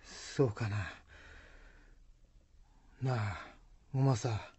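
An actor playing a humble, awkward peasant villager in a radio folktale, speaking husky, halting country speech. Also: male voice, medium pitch, steady tone, warm tone, no room sound, full character voice. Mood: sheepish. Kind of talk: radio drama acting.

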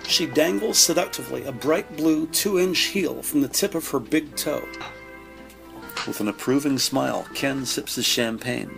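A man's voice: mid-range voice, a little slow, gravelly, rough recording. A man narrating a scripted audio drama in descriptive prose. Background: background music.

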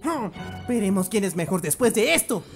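A man's voice: high voice, sing-song, normal speed. Guy doing a cocky scaredy cartoon adventurer dude, swoops all over, latin american spanish, music behind. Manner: cocky, jittery, exaggerated. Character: boastful, cowardly young male adventurer. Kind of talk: animated cartoon fandub in Spanish.

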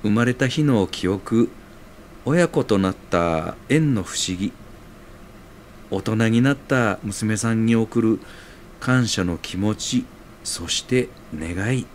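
A man's voice: lowish voice, animated, slow pace, slight background noise. A man acting and narrating as a polite, sentimental character in a scripted radio drama. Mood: gentle, reminiscing. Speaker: radio show host and narrator in an audio skit.

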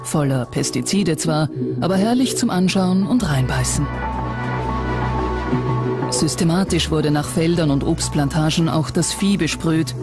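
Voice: medium pitch, a little slow. A narrator reading a scripted documentary in a composed, prepared delivery. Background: background music.

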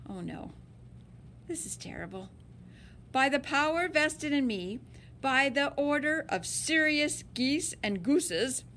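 A woman's voice: sits high, fairly bright tone, slight background noise. A woman reading a picture book aloud to children in a dramatic, emphatic and playful way. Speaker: story-time reader.

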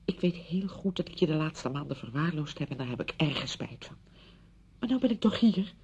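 A brisk, condescending woman in a radio drama. Her voice is lowish and swings widely as she fusses and chatters. The old recording is dull, with clear low rumble. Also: expressive, dark tone, full character voice. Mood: apologetic. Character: bossy, brisk, patronising woman visiting a widowed friend. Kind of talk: Dutch radio play acting.

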